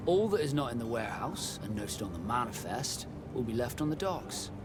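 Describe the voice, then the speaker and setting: An actor playing a proud, composed young sea captain in a fantasy game, speaking in a measured, formal way. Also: male voice, medium pitch, expressive, noisy background, close to an everyday voice. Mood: formal, businesslike. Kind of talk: scripted fantasy game dialogue. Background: music.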